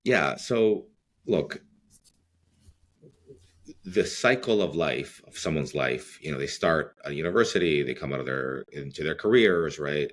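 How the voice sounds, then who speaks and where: Very deep-voiced man, rambling. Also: fairly bright tone. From Greece. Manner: rambling, many fillers and restarts. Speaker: real estate CEO guest. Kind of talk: podcast interview.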